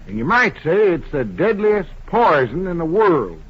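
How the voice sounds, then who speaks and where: A man's voice: fairly high pitch, big pitch swings, a little slow, heavy background noise. An actor playing a folksy, knowing town doctor in an old radio Western, giving his words portentous weight. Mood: grave. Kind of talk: 1950s radio Western acting. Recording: muffled old-time radio recording.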